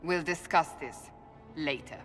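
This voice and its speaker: A woman's voice: deep voice, unhurried, fairly bright tone, long reverb tail, faint music behind. A woman playing a blunt, resolute warrior officer in a fantasy game, firm and clipped in delivery. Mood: stern. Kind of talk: dramatic fantasy game dialogue.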